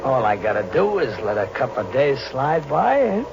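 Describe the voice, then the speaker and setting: A jumpy young American drifter, colloquial and streetwise. His pitch swings in a lively way, in the style of an old radio thriller. The recording is dull and clearly noisy. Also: moderate pace. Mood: easygoing. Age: young adult. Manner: colloquial first-person storytelling. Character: streetwise, jumpy twenty-one-year-old male drifter riding freight trains.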